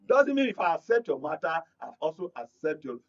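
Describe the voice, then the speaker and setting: High, husky Nigerian man debating, dull audio. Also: big pitch swings, fairly quick, recorded from a distance. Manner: forceful, explanatory, repeats himself. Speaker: panel guest. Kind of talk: heated panel debate.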